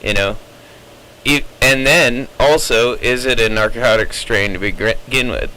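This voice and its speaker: A man with a bright, forward voice chats casually over CB radio. He explains things in a rambling, opinionated way. Faint noise sits behind him. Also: mid-range voice, measured pace, rough recording.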